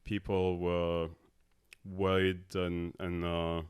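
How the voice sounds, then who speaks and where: A man in his thirties from Abu Dhabi, a tech co-founder talking as a podcast guest in a halting way with many filler sounds. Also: low voice, monotone, clean tone. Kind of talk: casual interview talk.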